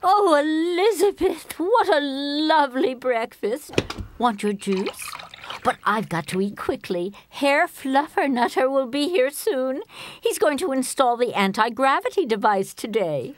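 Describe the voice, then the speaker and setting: An older actress playing a feisty, eccentric spinster with big dreams in a light comedy audio play, expressive and eager. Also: female voice, high voice, unhurried, slight background noise. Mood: cheerful.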